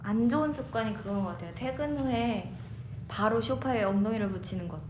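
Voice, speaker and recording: A woman's voice: fairly high pitch, a little fast, noisy background. Earnest young woman co-hosting radio. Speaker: radio show co-host. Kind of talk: casual radio chat. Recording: echoey studio with background music. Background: background music.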